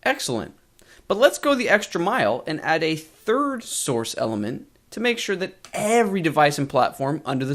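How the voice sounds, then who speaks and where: A man narrating a scripted tutorial as a course instructor, measured and clearly enunciated. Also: medium pitch, fairly bright tone. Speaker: web design course instructor.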